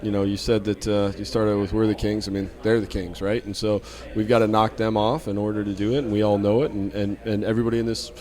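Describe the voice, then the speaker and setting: Candid man coach, low voice. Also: level tone. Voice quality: loud voice when needed. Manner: fast restarts, repeats words, candid. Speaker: head football coach. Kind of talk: media day interview. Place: hotel at a media event.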